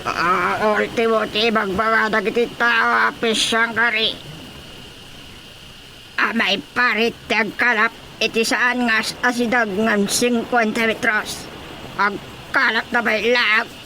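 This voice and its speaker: A man voicing a worried talking sea fish that complains haltingly in a comic radio drama. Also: high voice, little pitch movement. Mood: worried, complaining. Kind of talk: radio drama comedy acting. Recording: clearly noisy recording.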